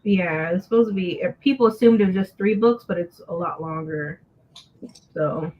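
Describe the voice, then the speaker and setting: A woman in her thirties co-hosting a casual book chat, relaxed and teasing. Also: fairly low pitch, normal speed. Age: 30s. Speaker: co-host of a book livestream. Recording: dull lo-fi stream audio.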